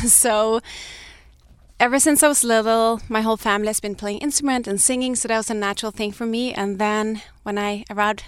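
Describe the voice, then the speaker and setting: A woman's voice: on the high side, steady tone, fairly quick, clean tone, dry recording. A woman in her thirties who grew up in Sweden, answering interview questions as a fashion brand founder, reflective and restarting her sentences. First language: Danish or Swedish. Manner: reflective, with frequent restarts and light laughs.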